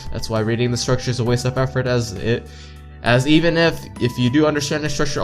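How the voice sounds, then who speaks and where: A man reading visual novel text aloud as a let's player, stumbling over lines and repeating words, with joking asides. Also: mid-range voice. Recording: game music behind voice.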